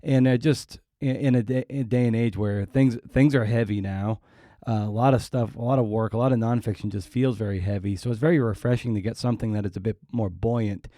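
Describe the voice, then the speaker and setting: A man's voice: lowish voice, fairly even intonation, a little fast, warm tone, no room sound, clean studio sound. A man hosting an interview podcast, talking casually and reflectively, often circling back and restarting mid-thought.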